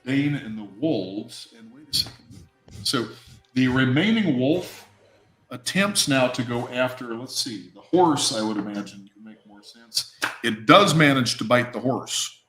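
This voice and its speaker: A man running a tabletop game, improvising narration haltingly, thinking aloud and swearing casually. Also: medium pitch, lively intonation, fairly bright tone. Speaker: game master. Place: indoor room on a live stream. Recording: roomy echo with music underneath.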